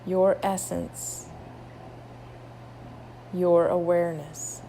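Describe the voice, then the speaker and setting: A woman leading a guided meditation, soothing, with drawn-out phrases and long pauses between them. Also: on the low side, level tone, creaky, dark tone, a little hiss or hum, rough recording.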